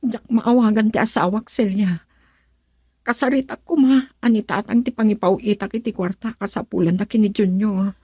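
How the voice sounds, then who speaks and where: A woman's voice: medium pitch, normal speed, mellow, husky, no echo, lightly acted. An actress playing a troubled, dutiful young wife and mother with a Filipino accent, earnest and pleading, in a radio drama. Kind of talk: Ilocano radio drama acting.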